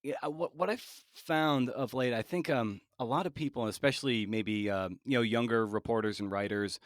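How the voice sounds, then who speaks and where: A man interviewing as a podcast host in a casual, halting way, rambling as he thinks aloud. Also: medium pitch, dry recording, studio quality.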